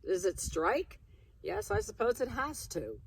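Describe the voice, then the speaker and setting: A woman's voice: medium pitch, sing-song, a little slow, gravelly, slightly rough, pitched above the performer's own voice, exaggerated voice, rough recording. A woman voicing a fussy, dramatic middle-aged aunt from a storybook, exclaiming and complaining. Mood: dismayed.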